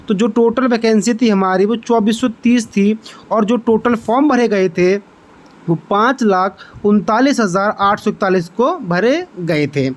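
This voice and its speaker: High-pitched man giving an informational update. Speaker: exam-update channel host. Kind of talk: informational exam update narration.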